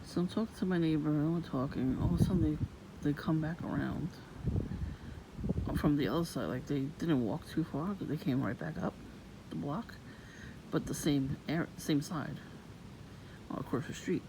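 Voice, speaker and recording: A woman with a low voice, vlogging in a soft-spoken, rambling and suspicious way outdoors near her home. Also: normal speed, long pauses. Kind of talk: casual rambling monologue. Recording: noisy outdoor ambience.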